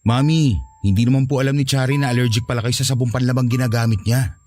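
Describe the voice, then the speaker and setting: An actor playing an easygoing husband in a radio drama, reassuring and conciliatory. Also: male voice, medium pitch, fairly even intonation, fast, darkish tone, slight background noise, lightly acted. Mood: placating. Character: easygoing, placating young husband and dutiful son. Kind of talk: Filipino radio drama acting.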